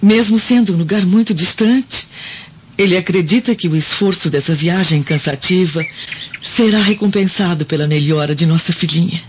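A woman's voice: lowish voice, normal speed, lightly acted. A Brazilian woman playing a worried, genteel Roman wife and mother in a radio drama, in a plaintive, theatrical manner. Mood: weary, anxious. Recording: dull radio recording with clear noise.

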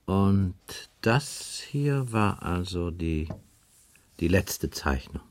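Insistent police inspector, low voice, swinging intonation, probing, officious, radio crime drama. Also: male voice, very animated, very slow, exaggerated voice. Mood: probing, reproachful. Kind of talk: 1980s German radio crime drama acting.

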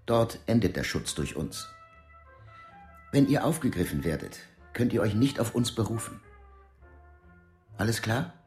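An actor playing a calm underground fixer in a radio drama, speaking in a matter-of-fact, articulate, lecturing way. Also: male voice, lowish voice, unhurried, pauses often, soft background music. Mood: brisk, instructing. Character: calm, businesslike underground fixer instructing fugitives in divided Germany.

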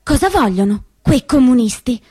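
A woman's voice: high voice, expressive, normal speed, warm tone, husky. A woman acting on stage as a wary, grumbling seventeen-year-old factory girl, muttering anxiously to herself. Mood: anxious, annoyed. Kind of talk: solo theatre character acting.

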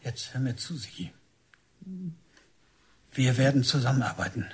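An actor playing a stern, domineering policeman father in a radio drama, commanding and interrogating in a husky voice. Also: medium pitch, normal speed. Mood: low, insistent. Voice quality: husky, rasping. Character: stern, domineering father, a village policeman, pressuring his son. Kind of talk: German radio drama acting.

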